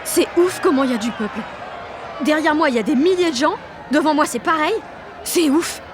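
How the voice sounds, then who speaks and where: A woman's voice: high-pitched, fairly quick, crowd noise, background noise, close to an everyday voice. An actress playing a slangy, self-conscious sixteen-year-old girl in a French audio drama, gushing and mocking herself as she narrates. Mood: wide-eyed wonder. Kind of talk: audio drama, slangy first-person inner narration and dialogue.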